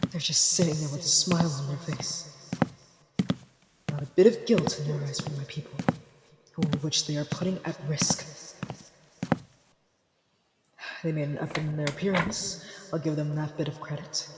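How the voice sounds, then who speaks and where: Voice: mid-range voice, steady tone, moderate pace, very noisy, close to an everyday voice. A performer playing a young, haughty ice prince in a fantasy audio roleplay, formal and indignant, easily flustered, with dramatic sighs. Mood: wary, disdainful.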